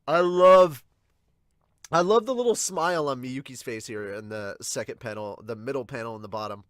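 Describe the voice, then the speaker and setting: A man hosting a casual manga reaction, talking enthusiastically in a halting, digressive way. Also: medium pitch, fairly bright tone, no echo, studio quality. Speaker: podcast host.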